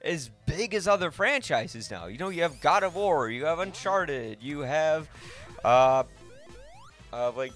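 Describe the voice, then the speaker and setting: A man's voice: a little high, expressive, unhurried, a bit bright, soft background music. A man hosting a podcast, talking casually about films in a rambling, thinking-aloud way. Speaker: podcast host. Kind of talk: casual film discussion.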